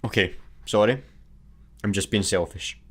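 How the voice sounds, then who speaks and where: An actor with a Scottish accent playing a talkative, self-effacing young adventurer in a sci-fi comedy radio play. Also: male voice, fairly low pitch, measured pace. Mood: chatty, apologetic. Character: chatty, awkward, hopeful young man adventurer.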